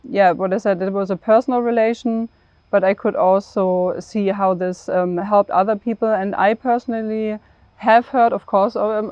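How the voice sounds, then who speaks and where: A woman speaks as a panelist in a conversational discussion. Her delivery is fairly flat in pitch, earnest and measured, feeling out phrases as she goes. The recording is dry and somewhat dull. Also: dry recording. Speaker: panelist, programme head.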